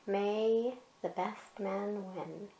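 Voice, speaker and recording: A stern yet kindly gardener from a bedtime story, acted out by a woman. He speaks slowly and solemnly, as if making announcements. The recording is echoey. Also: mid-range voice, mellow. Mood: solemn, encouraging. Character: kindly but stern master gardener, a grown man.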